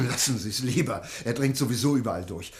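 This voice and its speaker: An actor playing a practical, protective doctor in a German radio play, speaking in a matter-of-fact but urgent manner. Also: male voice, mid-range voice, lively intonation, normal speed, slightly rough. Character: practical male doctor, level-headed, protective, wary.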